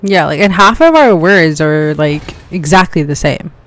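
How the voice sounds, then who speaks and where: A woman from Canada, a streamer, chatting casually, talking fast with lots of "like" and quick laughs. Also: low-pitched, animated. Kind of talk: casual chat.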